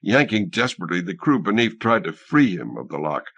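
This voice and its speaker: A man's voice: low-pitched, big pitch swings, fairly quick, fairly bright tone, slightly rough, no room sound. A man narrating fiction as an audiobook reader, in a dramatic scripted style.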